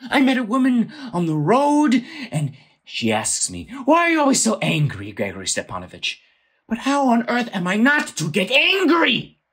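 Casting: woman as blustering male landowner in stage farce, husky voice, wildly animated delivery, constantly exasperated. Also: a little high, moderate pace, full character voice. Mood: exasperated ranting. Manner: ranting, exasperated, theatrical. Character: irate, blustering male landowner demanding his money. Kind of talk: theatrical audio play acting.

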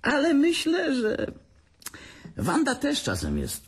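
A bitter, tipsy brother in a radio drama, sneering and taunting. His husky, raspy voice swings very widely in pitch, and he draws his words out slowly and haltingly. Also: wildly swooping pitch, full character voice. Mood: mocking, taunting. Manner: sarcastic, taunting, chuckling, halting. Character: mocking, bitter, tipsy divorced man needling his brother. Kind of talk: dramatic radio play acting.

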